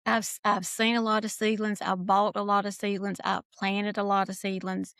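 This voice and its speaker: A woman's voice: medium pitch, little pitch movement, moderate pace, fairly bright tone, no room sound, studio quality. Folksy Southern woman telling stories. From Georgia, US. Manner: folksy storytelling. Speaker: podcast guest, nursery researcher. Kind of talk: casual chat.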